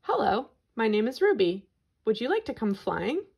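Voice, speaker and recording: A woman's voice: a little high, big pitch swings, moderate pace, creaky, darkish tone, slightly rough, exaggerated voice. A woman voicing a small, friendly, eager young female bird from a storybook, chirpy and earnest.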